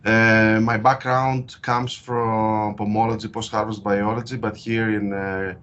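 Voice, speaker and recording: A man from Greece with a Greek accent, speaking in an interview as a guest researcher and explaining his work with frequent hesitant pauses. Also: on the low side, flat delivery, fairly bright tone. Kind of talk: interview over a call. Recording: dull lo-fi call audio.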